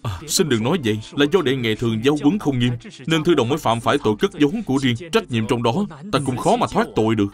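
A man's voice: mid-range voice, animated, fast, faint music behind, close to an everyday voice. An actor playing a humble, upright young male scholar in a historical cartoon, formal, polite and earnest. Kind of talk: animated historical cartoon dubbing.

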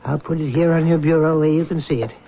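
A rational middle-aged doctor who speaks in a measured, clinical way, with a voice a little higher than usual for a man. This is 1970s radio drama acting, heard through a muffled broadcast recording with a faint hiss behind it. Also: fairly even intonation, gravelly.

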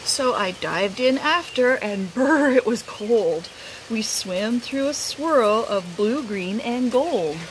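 A middle-aged woman, a teacher, reads a picture book aloud expressively, outdoors under a roof. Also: fairly high pitch. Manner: unhurried, animated. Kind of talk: expressive read-aloud storytelling. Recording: rain noise behind the voice.

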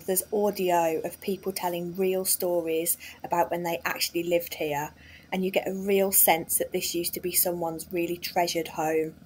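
A woman from England talks through a walking tour of old homes. Her voice sits a little low and has a husky, slightly breathy edge. She narrates in a chatty, enthusiastic way, as if showing a friend around. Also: a little mellow. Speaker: vlogger and tour guide.

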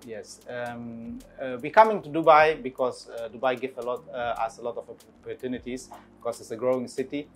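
A man's voice: medium pitch, fairly even intonation, moderate pace, soft background music. Hesitant man with filler-heavy speech. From Portugal, raised in Germany. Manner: hesitant, many ums and uhs. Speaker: restaurant partner and investor. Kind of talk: interview answers. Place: restaurant dining area, indoors. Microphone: lapel mic.

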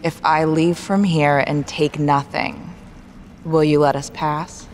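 Female tabletop player, unhurried and halting, casual delivery, faint ambience behind her. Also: sits low, fairly even intonation, slight background noise. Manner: halting, thinking aloud while describing actions. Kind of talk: casual tabletop role-play.

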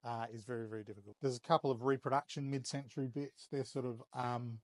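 Man, fifties, Australian accent, mid-pitched, measured, hesitant, casual explanatory interview talk, dry recording. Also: steady tone, no echo. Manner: hesitant, measured, matter-of-fact. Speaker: auction house operator. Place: indoors, warehouse auction rooms and workshop.

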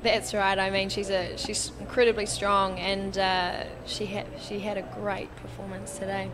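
A woman giving expert commentary on a canoe race in a relaxed, friendly manner. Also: mid-range voice, normal speed. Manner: relaxed, friendly, with light laughs. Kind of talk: casual sports commentary. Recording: crowd noise behind. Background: crowd at a regatta.

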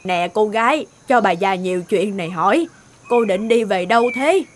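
A woman's voice: medium pitch, lively intonation, moderate pace, a little hiss or hum, close to an everyday voice. A woman voicing a nosy, worried old peasant woman in a fairy-tale cartoon, folksy and full of warning. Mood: inquisitive.

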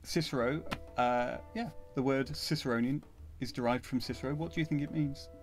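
A man's voice: medium pitch, brisk, soft background music. A man, hosting a casual quiz as banter. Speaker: interviewer, quiz host, audio drama director. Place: recording studio control room, indoors.

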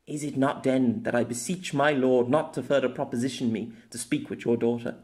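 A man's voice: mid-range voice, steady tone, a little fast, a little mellow, close to an everyday voice. A man voicing a young noblewoman who reads aloud in a formal, declamatory way in a fantasy story. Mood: solemn. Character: proud young noblewoman reading a flowery plea aloud.

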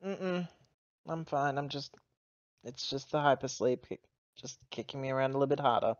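Low-key woman, slightly muffled dry audio. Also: moderate pace, no echo. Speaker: tabletop role-player. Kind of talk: casual in-character chat.

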